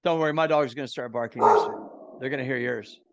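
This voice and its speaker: A middle-aged man from Kansas City hosting a podcast in a casual, excitable way, full of slang. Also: medium pitch, quick pace, heavy background noise. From Kansas City, USA. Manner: excitable, slangy, says "bro" and "dude" a lot. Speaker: podcast host. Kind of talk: casual interview chat.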